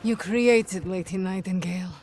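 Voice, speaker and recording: An actress playing a vengeful young sniper in a video game, with a bitter, defiant, deliberate delivery. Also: female voice, mid-range voice, noisy background, lightly acted. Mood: cold resolve. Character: vengeful young Russian female sniper hunting fascist invaders. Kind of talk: dramatic video game acting.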